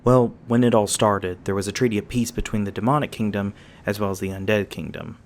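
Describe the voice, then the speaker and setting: Easygoing magi guide, played by a man, gravelly, patient fantasy storyteller for ASMR roleplay. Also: lowish voice, a little fast, a little mellow. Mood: storytelling, thoughtful. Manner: folksy, patient explaining.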